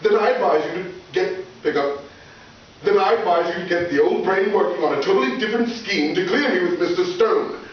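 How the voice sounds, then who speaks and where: Man playing a high-pitched blustering school principal. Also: a little fast, close to an everyday voice. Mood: scheming, bossy. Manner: pompous, irascible, theatrical exclamations. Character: blustering, bossy, scheming male school principal feigning amnesia. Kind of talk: live radio comedy acting. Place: live stage performance. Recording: very echoey hall, distant, dull, hissy.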